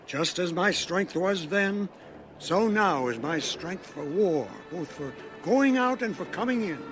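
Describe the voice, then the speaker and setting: A proud, steadfast warrior of eighty-five in a dramatized audio Bible. The delivery is slow, measured and declamatory, pitched a little high for a man, with music clearly underneath. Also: animated. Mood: resolute. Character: steadfast eighty-five-year-old male Bible warrior recalling his service.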